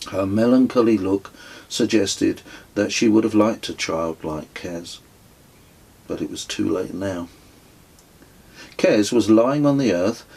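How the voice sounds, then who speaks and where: A middle-aged man narrates a travel memoir he wrote himself. His voice is low and husky, and he reads very slowly, phrase by phrase. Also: a little mellow, rough recording. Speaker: author reading own book.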